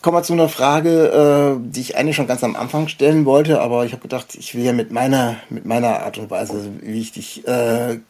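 A man interviewing casually and unscripted, halting often as he searches for the right words. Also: mid-range voice, fairly even intonation, a little mellow. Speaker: interview host.

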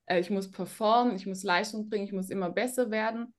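A woman, a trained opera singer, chatting as an interview guest and telling stories in a lively way that acts out what people say to her. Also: mid-range voice, quick pace. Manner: lively storyteller, acts out quoted reactions. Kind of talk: casual interview chat.

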